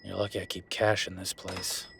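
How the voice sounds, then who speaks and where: A man playing a gruff, sarcastic merman who runs a tattoo shop, speaking in a halting, conversational audio roleplay style. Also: low voice, little pitch movement, background noise. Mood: concerned, gruff. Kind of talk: naturalistic one-sided audio roleplay.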